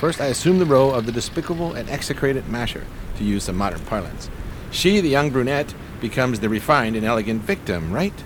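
Pompous vagrant swindler for 1920s radio play, male actor; mid-pitched, highly varied intonation, elaborate courtly manners. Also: sing-song, brisk, noisy background, close to an everyday voice. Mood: eager, scheming. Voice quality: florid, over-polite diction. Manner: pompous, theatrical. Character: grandiloquent, gentlemanly homeless con man in 1920s New York.

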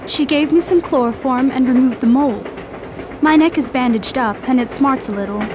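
A woman as a high-voiced, earnest young bride-to-be. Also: normal speed, background noise, close to an everyday voice. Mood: confiding. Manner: earnest, confiding. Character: sweet, trusting young bride-to-be, anxious to please herself. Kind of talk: amateur radio drama acting.

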